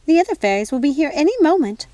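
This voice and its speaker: A woman's voice: high voice, big pitch swings, fast talker, dark tone, dry recording, voice pushed up. A woman voicing a fussy, bossy fairy godmother in an audiobook, squealing and chiding as she talks. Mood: fussy, disapproving. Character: fussy, prim, bossy fairy godmother, easily vexed sister. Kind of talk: audiobook character voice.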